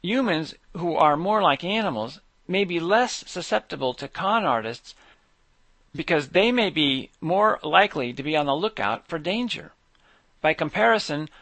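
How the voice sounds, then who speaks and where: A man with a slightly high voice reads his own scripted monologue. His delivery stays measured and even. The recording is close and dry, with no room sound. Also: no echo. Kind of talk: scripted monologue read aloud.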